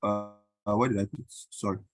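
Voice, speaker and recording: A man teaching a coding tutorial in a halting way, with many fillers and frequent "right?" checks. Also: lowish voice, steady tone. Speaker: coding instructor. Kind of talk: screen-recorded coding tutorial.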